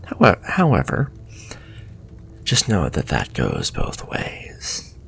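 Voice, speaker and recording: A man playing a suave, flirtatious, sinister warlock shopkeeper in a fantasy ASMR roleplay, speaking softly and courteously and drifting into breathy whispers. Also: rumbling low, huge pitch swings, slow, exaggerated voice. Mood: flirtatious, coaxing.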